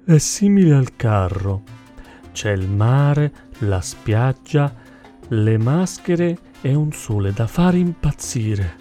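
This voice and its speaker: A dreamy, kindly sloth from a children's tale, played by a man. He drawls very slowly in a soft-edged mellow tone that rises and dips freely, with music underneath. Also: medium pitch, lively intonation, pitched above the performer's own voice. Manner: slow drawl, gentle. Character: gentle, slow, dreamy sloth. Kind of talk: rhymed children's story character.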